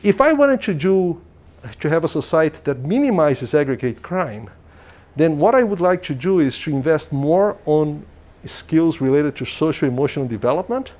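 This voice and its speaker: A man's voice: fairly high pitch, expressive, moderate pace, darkish tone. Man lecturing. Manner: thinking aloud, frequent restarts and "okay?" checks. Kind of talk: academic lecture. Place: lecture hall.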